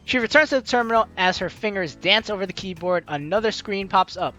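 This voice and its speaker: A woman hosts a casual let's play, reading game text aloud with playful, slangy asides. Her voice is bright and very animated at a medium pitch, with faint music behind it. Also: brisk, dull audio.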